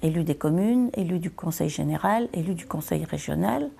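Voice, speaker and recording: Woman, local politician explaining her position, mellow gravelly voice, hesitant but insistent, repeats herself. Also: lowish voice, expressive. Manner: hesitant, deliberate, emphatic repetition of phrases. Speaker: local elected official, deputy mayor. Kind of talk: political statement, semi-improvised explanation.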